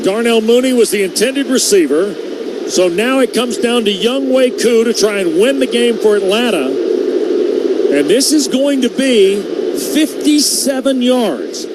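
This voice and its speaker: A man's voice: very high-pitched, expressive, unhurried. A male American radio announcer calling live football play-by-play in short, punchy present-tense phrases. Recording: loud stadium noise behind the voice. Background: stadium ambience.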